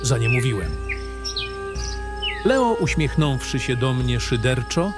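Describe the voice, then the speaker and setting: A man's voice: mid-range voice, very animated, slow. A man narrating a scripted fantasy audio drama in the first person, with a dramatic storytelling delivery. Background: background music.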